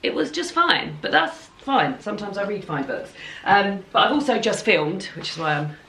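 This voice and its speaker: A woman's voice: medium pitch, big pitch swings, normal speed, a bit bright, slight background noise. A woman chatting casually in a home vlog diary about her plans and reading, rambling and correcting herself as she goes. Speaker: book vlogger.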